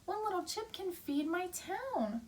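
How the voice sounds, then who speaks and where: A tiny storybook ant, played by a woman, speaks in a high voice. It pleads earnestly and bravely, leaning on its words to be heard. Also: very animated, unhurried, darkish tone, heavily acted. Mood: indignant pleading. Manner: pleading, emphatic storybook delivery.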